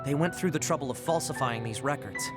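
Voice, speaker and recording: A man performs a young male informant for a video game, savvy and informal. The voice is medium in pitch with a laid-back delivery. Loud music runs behind every word. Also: a little fast, close to an everyday voice. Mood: matter-of-fact. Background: loud game soundtrack music.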